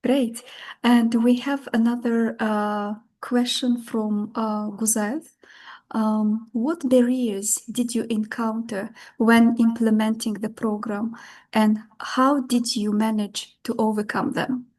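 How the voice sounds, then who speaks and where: A woman's voice: fairly high pitch, steady tone, slow, darkish tone. A woman moderating an online webinar, briefly thanking the guest and reading out audience questions.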